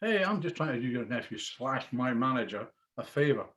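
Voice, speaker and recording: A man's voice: mid-range voice, sing-song, brisk, fairly bright tone. A man acting a wry, easygoing struggling comic in a screenplay table read, on a dull microphone. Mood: wry, casual.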